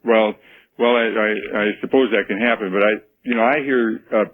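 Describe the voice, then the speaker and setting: A muffled, noisy phone line carries a fairly low-voiced American man calling a radio show. He gives his opinions earnestly, stumbling and repeating words as he goes. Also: fairly even intonation, brisk. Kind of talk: call-in opinion talk. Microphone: telephone.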